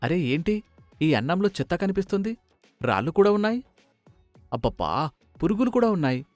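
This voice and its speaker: Man voicing an expressive, earnest crow son. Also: high voice, fairly quick, no echo, faint music behind, voice pushed up. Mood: appalled. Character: hardworking, weary adult crow son, good-natured.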